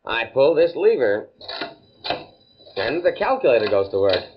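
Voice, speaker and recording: A man's voice: medium pitch, sing-song, a little slow. An actor playing an earnest, naive, pedantic male university scientist in a stuffy, precise 1950s radio drama style. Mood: confident, eager. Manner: precise, stuffy, stammers when flustered. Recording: muffled vintage 1950s radio recording.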